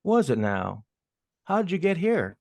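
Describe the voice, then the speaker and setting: A man playing a cocky, gruff, streetwise field mouse in an audio drama, teasing and brusque with a drawl. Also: on the high side, big pitch swings, slow pace, darkish tone, full character voice. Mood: wry, curious.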